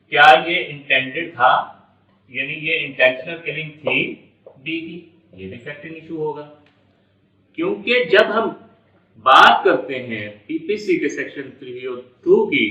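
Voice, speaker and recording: Pakistani man, law lecturer, bright forceful voice, repetitive step-by-step explaining, muffled echoey room recording. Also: a little high. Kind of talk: explanatory lecture, mixing in English legal terms. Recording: echoey room, distant dull mic.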